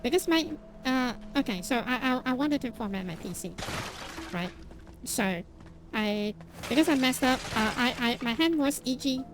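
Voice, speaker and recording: A woman's voice: high-pitched, animated, moderate pace, background noise. A woman streamer, not a native English speaker, chatting casually with her viewers. She rambles with lots of fillers and restarts, laughing at herself now and then. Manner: self-deprecating, laughs at herself. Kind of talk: casual chat with stream viewers while gaming.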